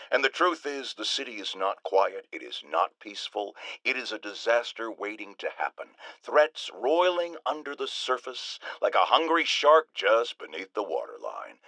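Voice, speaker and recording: An actor playing a blustering, self-righteous middle-aged American talk-radio host in a video game, with pompous, emphatic delivery. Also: male voice, medium pitch, pushed and bright, dry recording. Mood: grave, warning. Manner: pompous, emphatic, ranting oratory. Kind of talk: opinionated talk-radio monologue, theatrical game acting.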